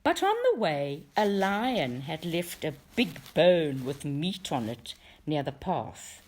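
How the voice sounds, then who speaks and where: A woman reading a folktale aloud as expressive storybook narration, in a measured, dramatic way. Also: low voice. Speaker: reader of a folktale for a book study group.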